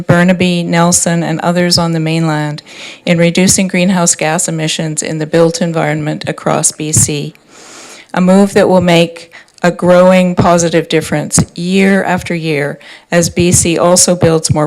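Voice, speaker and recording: Older woman, lowish voice, reading measured remarks at a podium mic in a boardroom. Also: darkish tone, poor audio. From Canada. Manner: measured, reads from notes. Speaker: public speaker at a board meeting. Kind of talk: prepared public comment. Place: council boardroom. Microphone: desk microphone.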